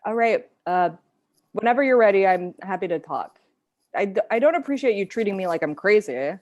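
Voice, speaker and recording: A woman's voice: medium pitch, expressive, fairly quick, a little mellow, muffled recording, lightly acted. A woman playing a frustrated but concerned girlfriend in a live table read, speaking naturally, by turns hesitant and pointed.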